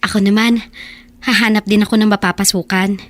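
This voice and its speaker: A Filipino actress playing a stubbornly hopeful teenage runaway wife in a radio drama. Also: female voice, medium pitch, fairly even intonation, fairly quick, no echo, lightly acted. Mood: hungry, hopeful. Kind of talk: Tagalog radio drama acting.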